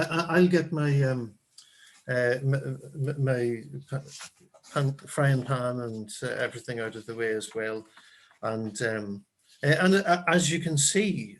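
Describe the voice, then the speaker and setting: Hesitant stammering Irish man hosting. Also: mid-range voice. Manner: hesitant, stammering, lots of fillers. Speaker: host. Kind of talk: casual chat.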